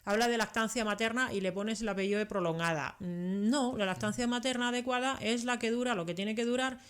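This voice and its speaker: A woman's voice: fairly high pitch, brisk, clean tone. A middle-aged woman from Finland, a native Finnish speaker, explains things conversationally as an expert interview guest, adding frequent tag questions. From Karelia, southeastern Finland. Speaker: interview guest, doctor and science communicator.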